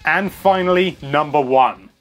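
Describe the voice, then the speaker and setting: A man's voice: a little high, unhurried, a bit bright. A British man hosting a scripted countdown with an enthusiastic, cheeky manner. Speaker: video host. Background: music.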